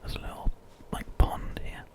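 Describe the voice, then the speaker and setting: Whispering British man, ASMR. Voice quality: breathy, unvoiced whisper. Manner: soft, gentle, unhurried rambling. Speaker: ASMR video host. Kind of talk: whispered ASMR game commentary.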